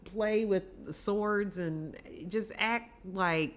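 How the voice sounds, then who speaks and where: A woman's voice: medium pitch, slow pace. A woman co-hosting a film podcast, giving opinionated, emphatic takes in casual conversation.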